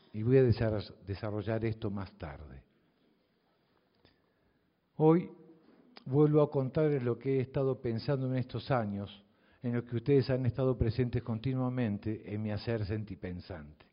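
A man's voice: mid-range voice, animated, a little slow. An older man from the Southern Cone with a Southern Cone Spanish accent gives a reflective, provocative public talk to an audience as a mathematics teacher. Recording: dull audio from a reverberant hall.

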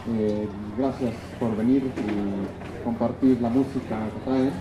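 A man's voice: medium pitch, steady tone, takes it slowly. A man asking polite, hesitant questions as an interviewer. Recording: dull, echoey, noisy space with crowd.

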